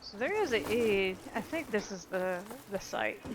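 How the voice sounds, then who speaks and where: A woman's voice: mid-range voice, very animated, unhurried, background noise. A woman giving casual commentary while playing through a video game, with game sound behind her. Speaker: gameplay video host. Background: game music and sound effects.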